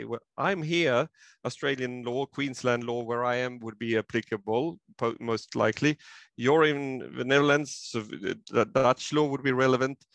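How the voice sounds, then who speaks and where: Measured professor, dry sound. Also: male voice, medium pitch, fairly bright tone, dry recording. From Sweden. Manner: measured, explanatory, occasional restarts. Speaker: law professor, guest. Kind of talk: interview over video call. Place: home office with bookshelf. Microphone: video call.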